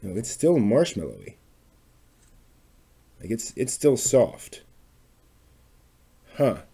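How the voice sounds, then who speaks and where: A man's voice: mid-range voice, very animated, slow, mellow. A man talking casually through a hands-on craft demonstration, muttering tentatively to himself as he works. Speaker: craft vlog host. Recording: clear background noise with clicking.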